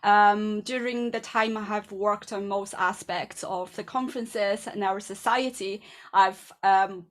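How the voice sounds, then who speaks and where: A woman's voice: medium pitch, steady tone, a little slow. A woman introducing herself as a board candidate at an online meeting, speaking with enthusiasm and frequent fillers.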